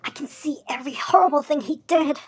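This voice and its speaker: A woman voicing a traumatized young male Dalmatian pup in an emotional cartoon fan drama, halting and anguished. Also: high-pitched, natural voice. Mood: broken, despairing. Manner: anguished, halting, swinging between hushed and loud.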